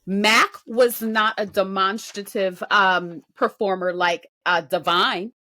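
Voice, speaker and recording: A woman's voice: mid-range voice, fairly even intonation, slow pace, sharp tone. A woman co-hosting a podcast, giving unscripted music show commentary in an emphatic, analytical way. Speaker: podcast co-host.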